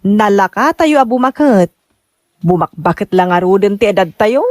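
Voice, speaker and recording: A woman's voice: mid-range voice, lively intonation, normal speed, a little mellow, dry recording, clean studio sound, natural voice. A woman playing a teasing middle-aged working woman in an audio drama, with an Ilocano Filipino accent. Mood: teasing, amused. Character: teasing older working woman, Layla, laughing about ageing. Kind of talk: radio-style drama acting.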